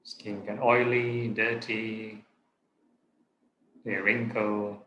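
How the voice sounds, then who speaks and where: A man, a Buddhist monk guiding a meditation in short, deliberate phrases with long pauses. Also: lowish voice, level tone, muffled recording. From Singapore. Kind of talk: guided meditation instruction. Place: online video call session in a room. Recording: roomy echo, clear background noise.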